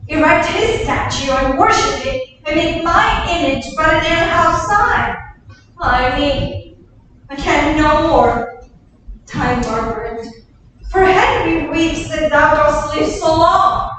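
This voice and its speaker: A woman's voice: high-pitched, lively intonation, slow, a bit bright, a little hiss or hum, heavily acted. A woman reading the part of a grieving, bitter queen in a staged Shakespeare reading, declaiming her laments. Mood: lamenting. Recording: echoey hall, distant mic.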